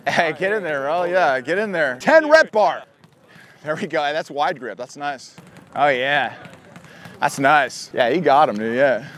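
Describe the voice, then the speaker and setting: A young man joking loudly with strangers outdoors on a beach street for a casual vlog. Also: fairly high pitch, unhurried, a little hiss or hum. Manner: loud, joking, excitable. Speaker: vlog co-host, baseball player.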